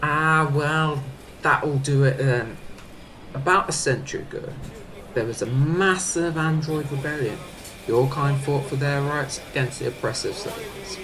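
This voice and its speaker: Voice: medium pitch, a little slow, a bit bright, slight background noise, rough recording. An actor playing a tactful, sympathetic activist in a sci-fi audio drama, speaking hesitantly and repeating words.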